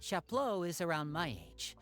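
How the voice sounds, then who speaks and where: A woman's voice: fairly low pitch, expressive, moderate pace, loud music behind the voice, natural voice. A woman voicing a courteous, composed teenage boy in an anime game, polite and reassuring.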